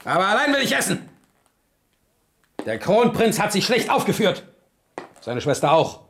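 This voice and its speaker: Brief: a raging old king for radio drama, gravelly and husky, swinging his pitch wildly. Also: wildly swooping pitch, moderate pace, fairly bright tone, a little hiss or hum, exaggerated voice. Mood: commanding. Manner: barks commands, then broods in low mutters. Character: irate tyrannical old Prussian king. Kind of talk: 1970s radio drama acting.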